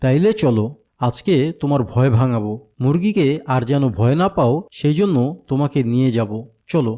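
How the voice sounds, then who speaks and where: A man's voice: mid-range voice, lively intonation, normal speed, dark tone, a little hiss or hum, close to an everyday voice. A man voicing a friendly, patient older companion to a child in a cartoon, with a Bangladeshi accent. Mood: coaxing. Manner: patient, coaxing, explanatory. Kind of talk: cartoon dialogue.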